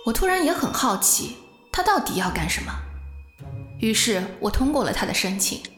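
A woman's voice: on the high side, sing-song, moderate pace, fairly bright tone, reverberant, big-room sound, faint music behind. A woman playing a wary young office worker who narrates her ordeal uneasily and earnestly in a suspense audio drama. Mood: wary, pitying.

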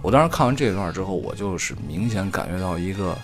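A man's voice: low voice, expressive, fast, music in the background. A man in his thirties, a podcast co-host, chatting casually and rambling with lots of fillers and restarts. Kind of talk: casual chat.

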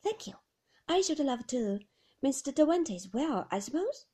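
Relentlessly cheerful nurse, high and sing-song, as a woman reader puts her on. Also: big pitch swings, moderate pace. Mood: chirpy. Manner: bright, chirpy, chatty.

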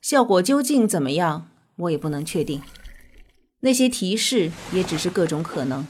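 An actress playing a composed research doctor in a science fiction radio drama, speaking in a calm, formal, matter-of-fact way. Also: female voice, fairly low pitch, normal speed, slight background noise. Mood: composed, solemn. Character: composed female research doctor, frank and clinical. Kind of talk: Chinese sci-fi radio drama acting.

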